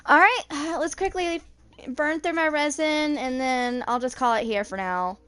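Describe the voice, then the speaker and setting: A woman's voice: sits high, a little slow, fairly bright tone. A woman in her twenties giving casual gameplay commentary as she streams. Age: 20s. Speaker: gaming streamer.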